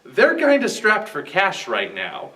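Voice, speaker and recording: High-pitched, animated man reviewing, very distant mic. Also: unhurried. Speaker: guest film reviewer. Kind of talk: scripted review commentary.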